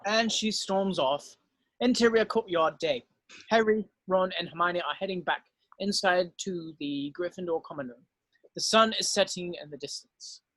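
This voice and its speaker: Man, high voice, scripted narration, screenplay directions, dry room-free sound. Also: normal speed, fairly bright tone, no echo, rough recording. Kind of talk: reading screenplay stage directions aloud.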